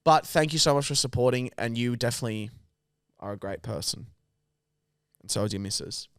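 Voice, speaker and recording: An Australian man hosts a podcast with blunt, crude banter and frequent swearing. His voice sits at a middle pitch and is recorded close and dry. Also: fairly quick, fairly bright tone, clear, resonant tone, no echo. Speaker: podcast host.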